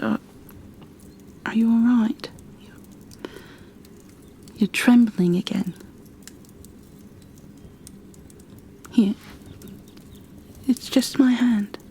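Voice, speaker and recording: A woman playing a gentle, protective creature bookkeeper in a soft-spoken ASMR roleplay, hushed, soothing and a little hesitant. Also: a little high, slight background noise. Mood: apologetic, tender.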